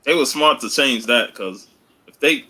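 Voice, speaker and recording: A man's voice: mid-range voice, a little slow, bright, forceful tone, slight background noise. A man talking casually on a podcast panel, easygoing and colloquial, often tagging phrases with "you know". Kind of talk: casual chat.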